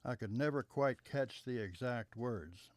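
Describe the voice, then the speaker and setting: A man reading a childhood memoir aloud in a measured storytelling manner, letting sentences trail off softly at their ends. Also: medium pitch, no room sound.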